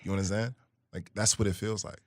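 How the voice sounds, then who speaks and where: A man's voice: on the low side, quick pace, no room sound, clean studio sound. A man from Brooklyn and Guyana, a musician guest telling stories in a casual interview. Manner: animated storyteller, hums melody lines.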